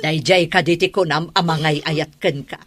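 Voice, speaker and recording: A woman's voice: low-pitched, steady tone, moderate pace, fairly bright tone. An actress playing a concerned, admonishing middle-aged mother in a radio drama, with a Filipino accent. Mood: concerned, scolding. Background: music.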